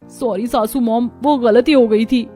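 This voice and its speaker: A woman's voice: a little high, steady tone, a little slow, dark tone, husky. A woman voicing a vain, spiteful young modern daughter-in-law in a dramatic Hindi moral story cartoon. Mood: dismayed, apologetic. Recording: music behind the voice.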